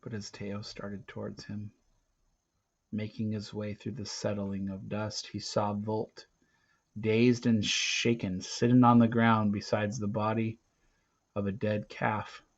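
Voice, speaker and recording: A man narrating a storybook aloud theatrically, with dramatic pauses and swings between hushed and loud delivery. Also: lowish voice, level tone, highs cut off.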